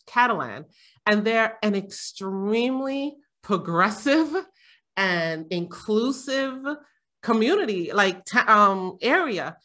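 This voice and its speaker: A woman's voice: mid-range voice, pushed and bright. A middle-aged woman from Jamaica chatting casually as a panel guest, rambling with frequent fillers and laughs. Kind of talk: casual conversation.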